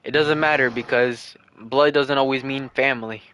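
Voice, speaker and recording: Young male streamer in his twenties, casual Q&A, earnest, rambling, mid-pitched. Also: steady tone, normal speed, pushed and bright, clear, resonant tone, rough recording. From United States. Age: 20s. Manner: earnest, rambling, repeats himself while thinking aloud. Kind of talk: casual livestream Q&A.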